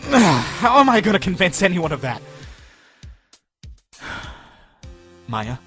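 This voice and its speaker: A man's voice: high-pitched, sing-song, slow pace, fairly bright tone, faint music behind, heavily acted. A man playing an anxious young defense lawyer. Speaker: defense attorney. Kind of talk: fan audio drama acting.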